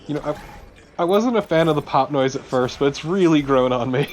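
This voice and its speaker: A man's voice: fairly high pitch, brisk. A man chatting casually and wryly as a co-commentator over gameplay. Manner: wry, sweary. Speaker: let's play co-commentator.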